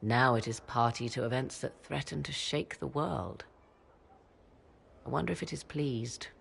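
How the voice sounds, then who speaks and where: An actress voicing a mysterious, secretive mage mother in a video game, speaking in a measured, formal, archaic way. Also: very deep voice, vocal fry, a little hiss or hum, heavily acted. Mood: grave. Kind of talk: video game cutscene dialogue.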